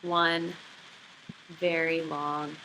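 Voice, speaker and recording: A woman with a fairly low but bright, forward voice gives a lesson on literary craft. She moves between explanation and expressive read-aloud narration. There is faint noise behind her. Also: very slow, rough recording. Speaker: writing teacher.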